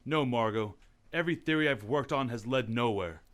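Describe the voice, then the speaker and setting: An actor playing a calm, thoughtful gentleman detective in a radio drama. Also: medium pitch, close to an everyday voice. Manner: measured and thoughtful.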